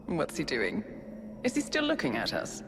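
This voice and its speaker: Sardonic female tormentor, low-ish voice, lively swoops, slow, long reverb, audible background noise. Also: creaky, full character voice. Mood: taunting. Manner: taunting and sardonic, drawn-out. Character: mocking, cold female tormentor. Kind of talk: video game cutscene acting.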